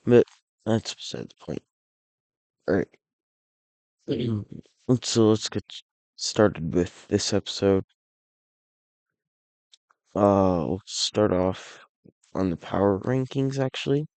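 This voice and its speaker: A man with a low, gravelly voice talks through a map video in a relaxed, wandering way, stopping and restarting as he goes. He sounds hoarse and a little unwell. The recording is dry and close, with no room sound. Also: takes it slowly, pauses often, dry recording. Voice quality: raspy. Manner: rambling, with frequent restarts and self-corrections. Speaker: alternate-history map series creator. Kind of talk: casual map narration.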